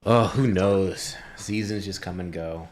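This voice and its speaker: A man's voice: low voice, a little slow, a little mellow, slight background noise. A man voicing an irritated undead male dwarf who guards his crypt home, exasperated and casual, in a tabletop game. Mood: vague, musing.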